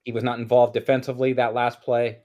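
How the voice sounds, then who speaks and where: A man's voice: mid-range voice, little pitch movement, fast talker, a little mellow. A man co-commentating casually on a basketball game, blunt and slangy, with opinions and some swearing. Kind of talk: casual chat and live game reactions.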